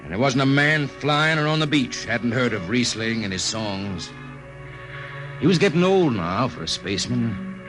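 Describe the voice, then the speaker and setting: Guy playing veteran space crewman narrating old radio drama, medium pitch, really animated delivery, music under it. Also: normal speed, close to an everyday voice, rough recording. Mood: nostalgic. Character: seasoned spaceship jetman telling a nostalgic tale. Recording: vintage 1950 radio recording.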